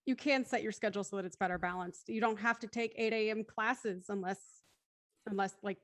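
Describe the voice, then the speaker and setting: A woman's voice: mid-range voice, steady tone, a little fast, a bit bright, no room sound, studio quality. A woman talking casually and reflectively in a group discussion.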